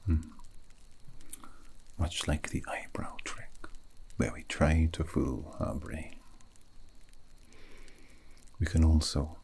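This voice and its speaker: A man playing a calm, wise elven mage in an ASMR roleplay, speaking in a breathy, gravelly half-whisper with a slow, poetic, soothing manner. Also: extremely low pitch, heavily acted. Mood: gentle, lulling. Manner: slow, measured, poetic, tender.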